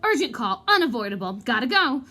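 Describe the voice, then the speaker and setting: A vain male wizard professor from a storybook, acted out by a woman, evasive and self-important. The voice sits fairly high and swoops about, pompous yet hesitant. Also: very animated, a little fast, bright, forceful tone, full character voice. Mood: evasive, hurried.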